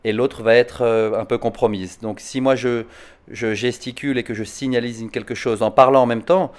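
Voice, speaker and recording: A man speaking as a psychanalyst at an online conference, explaining in a hesitant, halting way, often repeating words. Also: medium pitch, fairly even intonation, clear, resonant tone. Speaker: psychoanalyst answering conference questions.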